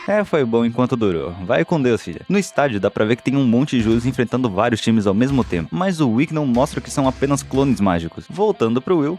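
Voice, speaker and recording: A Brazilian man narrates a comic recap at a quick clip over background music. His delivery is slangy and sarcastic, with a mid-range voice and a casual feel. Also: medium pitch, darkish tone. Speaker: narrator of anime parody recap videos. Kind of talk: casual comedic scripted narration.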